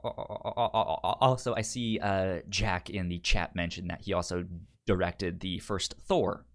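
A man co-hosting a casual film discussion, speaking haltingly and often stammering and repeating words. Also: low voice, fairly even intonation. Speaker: film podcast co-host.

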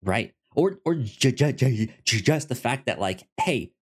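A man's voice: mid-range voice, expressive, a little slow, husky. A man co-hosting a podcast, casually discussing a TV series and often stammering over repeated syllables. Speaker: podcast co-host.

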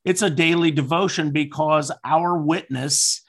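A man's voice: fairly high pitch, fairly even intonation, measured pace. A man hosting a casual interview, asking questions in an encouraging way. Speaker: podcast host.

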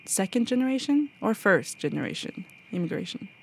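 A woman's voice: medium pitch, very animated, fairly quick, gravelly, slight background noise. A woman from Norway co-hosting a podcast in a radio studio, chatting casually with hesitant restarts. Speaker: podcast co-host.

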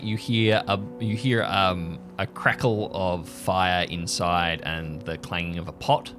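A man narrating an improvised scene as game master, halting as he builds the atmosphere in descriptive detail. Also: deep voice. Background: music under the voice.

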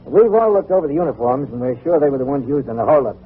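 Casting: male actor as commanding police chief, clipped delivery, average pitch, heavily hissy, tinny vintage radio. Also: lively intonation, quick pace, lightly acted. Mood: brisk, commanding. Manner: clipped, businesslike. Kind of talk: old-time radio crime drama acting. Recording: tinny, noisy old radio recording.

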